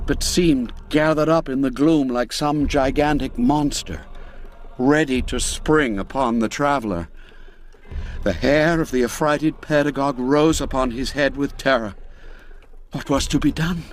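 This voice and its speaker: A man narrating a scripted story in a measured, theatrical, suspenseful manner. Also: a little high. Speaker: audiobook narrator.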